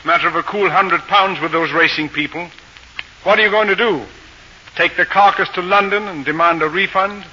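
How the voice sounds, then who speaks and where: Pompous, bright-voiced grumbling father. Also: sits high, moderate pace, husky, exaggerated voice. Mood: dryly sardonic. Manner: pompous, dryly sardonic, wordy. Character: pompous, grumbling middle-aged father of the household. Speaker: radio drama actor. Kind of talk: 1940s radio acting. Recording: dull, faintly noisy old radio recording.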